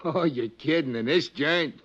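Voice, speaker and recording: An actor playing an offhand, wisecracking hotel desk clerk in a 1950s radio drama. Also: male voice, a little high, a little slow, a bit bright. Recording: dull old radio recording.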